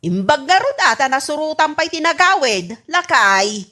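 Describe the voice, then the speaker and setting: A nagging Ilocano wife played by a woman in a radio comedy. Her voice is high, bright and sharp, swinging up and down as she scolds and questions with suspicion. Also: very animated, normal speed, full character voice. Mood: questioning, nagging. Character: nagging, suspicious wife of a forgetful old man.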